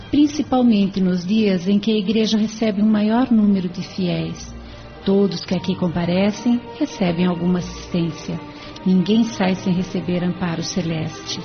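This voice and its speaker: Woman as a kindly, mid-pitched spirit helper. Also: creaky, close to an everyday voice. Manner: didactic, formal, kindly. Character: serene, devoted female spirit helper explaining her charity. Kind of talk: audio novela dialogue. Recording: dull sound with faint music.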